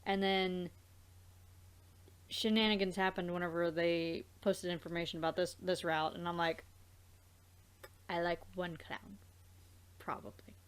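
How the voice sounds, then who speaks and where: A woman hosting a let's play, chatting casually in a rambling, halting way and circling back mid-thought. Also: on the low side, flat delivery, clean tone. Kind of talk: casual gaming chat.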